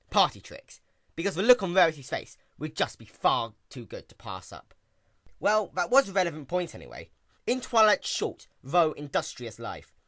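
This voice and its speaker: A man's voice: on the high side, pushed and bright, dry recording, clean studio sound. A man giving a dramatic story reading with a theatrical, wry and emphatic delivery. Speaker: story narrator.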